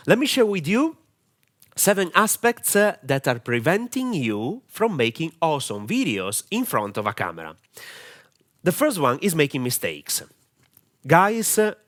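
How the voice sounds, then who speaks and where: A man's voice: medium pitch, a bit bright. A man from Italy with an Italian accent, giving a camera-confidence lesson as a marketing mentor, direct and earnest with many hesitations. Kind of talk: camera-confidence training talk.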